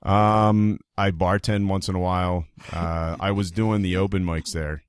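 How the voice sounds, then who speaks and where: A man's voice: low voice, level tone, unhurried, clear, resonant tone, no room sound, clean studio sound. A man in his thirties with a radio voice, a guest telling stories in laid-back, self-deprecating chat. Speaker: podcast guest, comedy show organizer. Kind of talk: casual chat. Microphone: studio mic.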